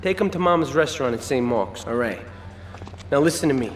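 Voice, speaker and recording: An actor playing a cocky young mobster and mechanic, smug and casual. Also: male voice, mid-range voice, animated, a little fast, reverberant, long reverb tail, faint music behind, lightly acted.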